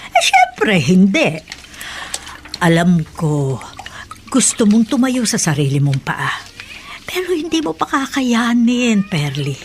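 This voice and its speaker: An actress playing a caring aunt who works as a laundress, with a reassuring and practical way of talking. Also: female voice, mid-range voice, sing-song, takes it slowly, long pauses, slight background noise. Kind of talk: radio drama acting.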